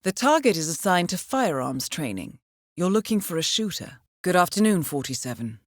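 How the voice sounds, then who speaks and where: An actress playing a cool, composed intelligence handler who briefs an assassin in a video game, speaking formally and with dry understatement. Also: female voice, lowish voice, sing-song, moderate pace, dry recording.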